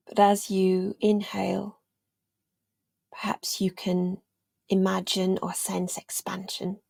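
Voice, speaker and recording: A woman leading a guided meditation in a gentle, soothing, unhurried way, leaving long pauses and letting phrases trail off almost to a whisper. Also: medium pitch, completely flat delivery, gravelly, no echo. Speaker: meditation guide, yoga teacher.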